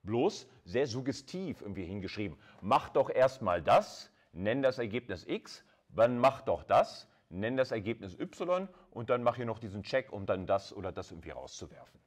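Guy lecturing casually, kinda low voice, chatty and colloquial, walks you through stuff step by step. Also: sing-song, normal speed, hardly any pauses. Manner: colloquial, conversational, addresses listeners directly. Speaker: university lecturer. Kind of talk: informal lecture, explaining code step by step.

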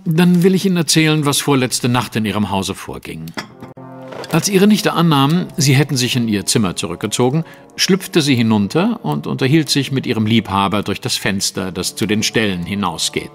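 A man acts a self-assured Victorian master detective in a radio play. His delivery is lively and precise, with great authority. Long reverb surrounds the voice and music plays behind it. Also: medium pitch, close to an everyday voice. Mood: explanatory. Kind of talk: German audio drama acting.

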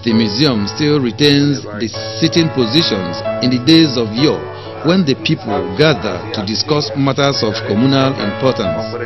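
A man giving measured, formal scripted narration for a documentary. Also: medium pitch, animated. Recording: muffled voice-over with music behind.

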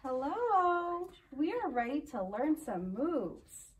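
A woman's voice: high voice, very animated, takes it slowly, full character voice. A woman voicing a small, eager animal friend in a children's storybook reading, heard from across a room. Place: indoor library meeting room. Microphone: camera several feet away. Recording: far from camera, faint noise.

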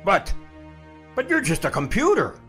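A man's voice: sits high, wildly swooping pitch, normal speed, pushed and bright, soft background music, full character voice. A man playing a cornered older scientist. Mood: desperate. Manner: pleading and agitated. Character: desperate older male scientist, defiant but cornered. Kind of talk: audio drama acting.

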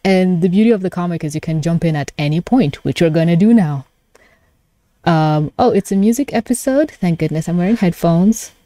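A woman's voice: on the low side, fairly quick, dark tone, no room sound. A woman streamer giving a casual live reaction to a webcomic, gushing and flustered, swearing freely. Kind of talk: live reaction with casual commentary and reading aloud.

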